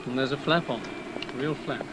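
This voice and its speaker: A man performing a grave intelligence official in a radio play. He briefs in short, terse phrases at a medium pitch, with slight noise around the voice. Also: normal speed, natural voice. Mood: grave, urgent. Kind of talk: radio drama acting.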